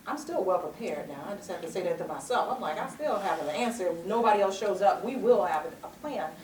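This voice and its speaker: A woman's voice: mid-range voice, expressive, a little fast, a little mellow. A middle-aged woman giving a motivational keynote and answering questions in a confident, emphatic, rhythmic way. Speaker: keynote speaker. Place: large conference hall. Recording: roomy hall sound, distant mic, noisy.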